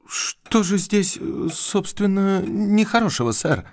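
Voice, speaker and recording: An actor playing a young, eager, unpractical country doctor in a Russian audio drama, effusive and courteous with hesitant pauses. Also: male voice, high voice, expressive, a little mellow, no room sound, clean studio sound. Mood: puzzled.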